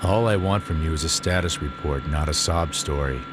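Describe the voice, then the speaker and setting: A man's voice: a bass voice, normal speed, background noise. A man playing a cold, stoic commando in a video game, speaking in terse, curt lines. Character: cold, stoic young male commando on assault missions. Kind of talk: video game cutscene acting. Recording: loud music and effects behind.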